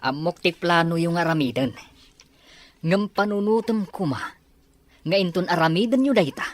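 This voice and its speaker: A woman playing a cautious, aging henchman in an Ilocano Filipino radio drama, with a husky voice. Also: lowish voice, animated, normal speed, no room sound, natural voice. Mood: warning, insistent. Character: cautious, aging henchman urging a change of ways. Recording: close, dry, with music underneath.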